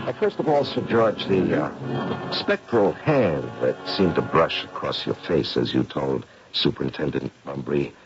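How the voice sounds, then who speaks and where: An actor playing a confident, gray-haired paranormal investigator in an old-time radio mystery, explaining in a deliberate, theatrical way. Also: male voice, a bass voice, animated, over music. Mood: probing. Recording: muffled, noisy radio drama recording.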